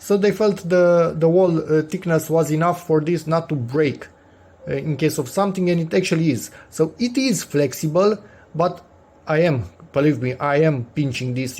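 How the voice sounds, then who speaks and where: A man's voice: a little high, a little mellow. A man hosting a casual DIY vlog, thinking aloud and repeating himself for emphasis. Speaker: DIY video host. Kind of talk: casual unscripted workshop vlog commentary.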